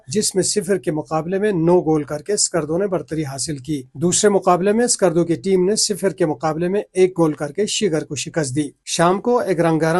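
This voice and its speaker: Higher-pitched man reporting news. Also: darkish tone. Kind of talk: scripted news report narration with on-location sign-off.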